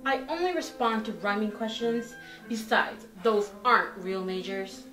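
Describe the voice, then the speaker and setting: A woman's voice: mid-range voice, unhurried, lightly acted. Woman as snarky magic mirror, echoey stage. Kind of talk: comic fairy tale stage play. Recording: echoey stage, distant mic, music behind.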